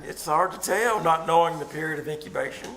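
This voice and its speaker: A man's voice: on the high side, fairly quick. An actor playing a skeptical, dismissive male scientist in a live old-time radio drama, with a wry manner. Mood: grave. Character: skeptical, dismissive male physiologist chatting with colleague. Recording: roomy live venue, loud crowd noise.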